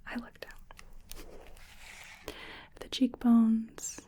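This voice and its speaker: A woman whispering gently and slowly in an ASMR roleplay, calmly listing facial measurements as she takes them. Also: fairly high pitch, breathy edge. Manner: slow, gentle, soft-spoken whispering. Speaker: ASMR artist.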